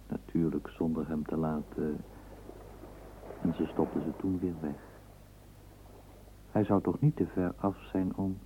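Guy narrating an old radio drama, slow measured storytelling, tinny with a bit of hiss. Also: low voice. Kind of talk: literary radio play narration.

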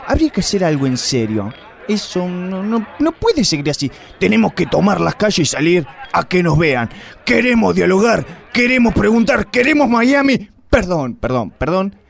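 Gravelly sleazy inspector with a Rioplatense accent, blustering and vulgar, a man acting him. community radio drama. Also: sits high, big pitch swings, normal speed, full character voice. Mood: ranting. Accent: Rioplatense Spanish. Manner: blustering, melodramatic, vulgar outbursts. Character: crude boastful corrupt male police inspector.

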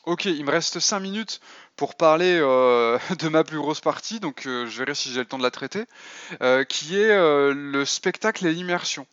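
A man's voice: a little high, lively intonation, normal speed, fairly bright tone, smooth, no echo, highs cut off. A man giving a casual spoken essay as a podcast host, full of fillers and restarts. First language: French. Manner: hesitant, many fillers and restarts.

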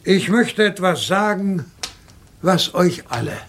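An actor playing an older retired English colonel who hosts with deliberate, theatrical delivery in a radio drama. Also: male voice, high voice, very animated, gravelly, a bit bright, heavily acted. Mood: deliberate, mysterious.

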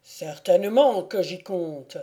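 A woman's voice: lowish voice, lively intonation, slow, warm tone. A woman doing a scornful giant stranger. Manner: scornful, commanding. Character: huge scornful male stranger, gruff and commanding.

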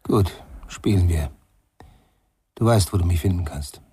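Casting: wary musician in radio thriller, low dark voice, terse, challenging, thoughtful defiance. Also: male voice, measured pace, soft background music. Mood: quietly defiant. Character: wary, defiant male musician, quietly philosophical. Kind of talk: radio crime drama acting.

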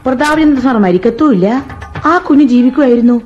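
A gossipy, wry housemaid who chatters in a mellow, homely voice. The sound is dull and lo-fi, with faint music underneath, like an old radio broadcast. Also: female voice, high-pitched, animated, fast. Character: gossipy village housemaid Meenakshi, sharp-tongued. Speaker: radio drama actor. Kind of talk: 1980s Indian radio play acting.

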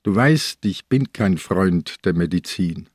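Husky, dry old police inspector. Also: male voice, low-pitched, expressive, darkish tone, no echo, heavily acted. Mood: dry, wry. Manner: terse, dry, unhurried. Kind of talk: German radio crime drama acting.

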